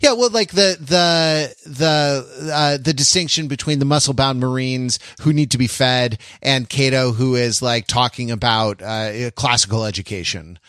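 A man co-hosting a podcast, discussing literature casually in a halting way, often repeating and interrupting himself. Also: mid-range voice, a bit bright, no room sound, clean studio sound. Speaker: podcast co-host.

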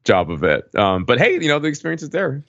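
A man chatting casually as a podcast regular, telling anecdotes in a halting, meandering way. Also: fairly high pitch, huge pitch swings, vocal fry, a bit bright. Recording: dull lo-fi, roomy echo.

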